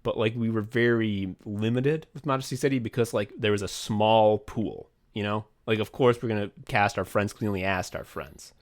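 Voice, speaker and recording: A man co-hosting a podcast and casually looking back on writing and directing an audio drama, rambling, swearing often and leaning on "like" with quick bursts of excitement. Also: on the low side.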